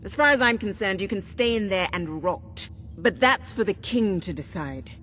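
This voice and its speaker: Earnest rebel commander for a game, female actor: medium pitch, firm and plain-spoken, reverberant hall. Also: animated, normal speed, natural voice. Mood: stern. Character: resolute, businesslike woman rebel leader. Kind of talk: dramatic video game voice acting. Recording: echoey hall, faint noise.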